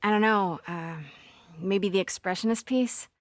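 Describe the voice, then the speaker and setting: An actress playing a determined, caring young newspaper reporter with an American accent, in naturalistic, conversational video game dialogue with wry asides. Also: female voice, medium pitch, measured pace, no echo, clean studio sound, close to an everyday voice. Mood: uneasy.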